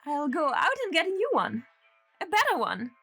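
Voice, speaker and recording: A woman's voice: sits high, very animated, normal speed, fairly bright tone, faint music behind, full character voice. A woman acting a sweetly obsessive young woman in a radio drama, cooing and chatting as if to a lover. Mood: doting, chirpy. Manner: cooing, chatty, self-talking.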